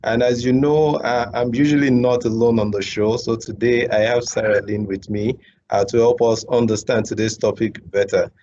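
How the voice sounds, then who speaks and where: A man's voice: mid-range voice, flat delivery, moderate pace, warm tone. A man hosting an interview show, speaking hesitantly with frequent fillers and repeated words. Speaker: show host. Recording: dull lo-fi audio, faint background hum.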